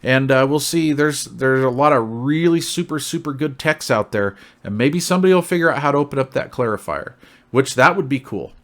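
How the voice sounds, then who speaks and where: A man's voice: mid-range voice, animated, fairly quick. Folksy American man giving a casual review. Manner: folksy, long-winded, conversational, checks in with "right?". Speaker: CB radio reviewer, channel host.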